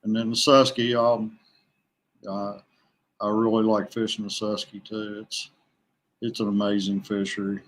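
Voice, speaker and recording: A man talking casually as a podcast guest, a veteran angler who haltingly thinks aloud as he goes. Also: fairly low pitch, flat delivery.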